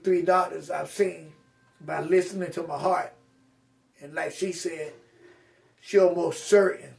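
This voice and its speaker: A man's voice: on the high side, gravelly, far from the mic. A man vlogging a casual personal update to his viewers, with slurred speech and a rambling, straight-talking way of speaking full of fillers and restarts.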